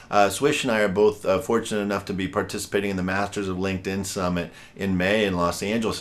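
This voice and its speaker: This is a man in his forties with a low-pitched voice, hosting a podcast interview. He talks in a chatty, rambling way and keeps dropping in fillers as he works his way toward his questions. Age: 40s. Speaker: podcast host.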